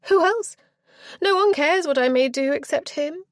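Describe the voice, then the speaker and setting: A woman voicing a petulant, self-centred young Regency heiress who talks in a high, sulky, easily wounded way. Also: moderate pace, dry recording, studio quality, natural voice. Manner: sulky, high-strung, rising to tearful.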